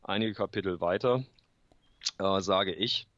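A man with a cold, answering interview questions about his book in a halting way, clearing his throat, with many fillers and restarts. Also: sits low, a bit bright, dull audio, a little hiss or hum. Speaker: author guest. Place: room full of books at home.

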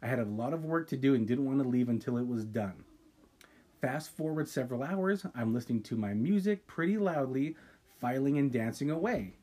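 Mellow, husky-voiced male podcast co-host. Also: medium pitch, lively intonation. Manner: reads with dramatic pauses, breaks off to react. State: sipping wine. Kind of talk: casual podcast chat, reading listener stories aloud.

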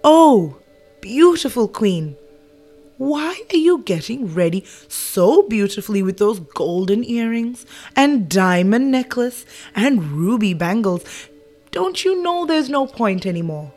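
A woman's voice: on the high side, sing-song, slow, a little mellow, soft background music, heavily acted. A woman voicing a greedy, scheming old female maid with a dramatic, sly, whispering manner. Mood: mocking.

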